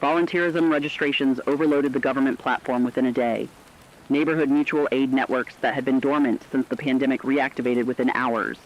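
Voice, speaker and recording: A man acting as an earnest crisis broadcaster in a radio drama, talking quickly. His delivery is solemn and rhetorical, like a scripted address to listeners. The sound is dull, lo-fi radio. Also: mid-range voice, natural voice. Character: earnest male emergency broadcaster honouring ordinary people in crisis.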